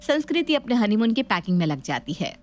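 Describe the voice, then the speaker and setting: A woman's voice: on the high side, very animated, brisk, soft background music. A woman narrating a scripted family story as a storyteller.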